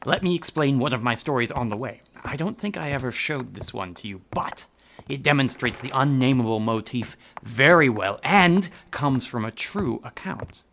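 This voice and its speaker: A bookish horror writer in a radio play. His gravelly, bright voice is keen and secretive as he explains. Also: medium pitch, expressive, normal speed, a little hiss or hum. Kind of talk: radio drama acting.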